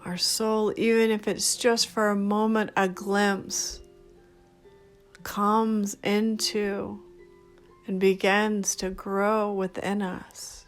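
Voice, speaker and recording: A woman leading a guided meditation in a gentle, soothing, unhurried manner, speaking in short phrases. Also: mid-range voice, flat delivery. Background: background music.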